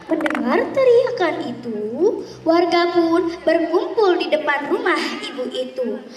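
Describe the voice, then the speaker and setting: A woman narrating a folk tale theatrically as a contestant, deliberate and with dramatic pauses. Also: very high-pitched, sing-song. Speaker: storytelling contestant. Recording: echoey hall, distant microphone.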